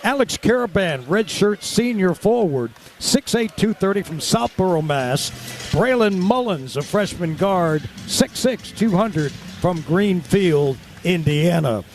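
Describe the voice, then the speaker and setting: A man's voice: sits high, animated, takes it slowly, a little hiss or hum. A man working as a basketball play-by-play announcer on a radio sports broadcast.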